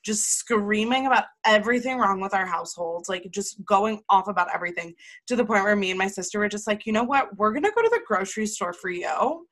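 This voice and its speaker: A young woman from Massachusetts with a slight local accent, chatting casually as a podcast co-host in a bubbly, animated way. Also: mid-range voice. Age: young adult. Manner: bubbly, animated storytelling, quick reactions. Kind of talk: casual chat.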